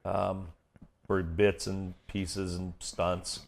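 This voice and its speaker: A middle-aged American man with a booming baritone, chatting casually as an interview guest. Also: flat delivery, extremely slow, creaky. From Kansas City area, United States. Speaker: guest, event MC and voiceover artist. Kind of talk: casual interview chat with stories.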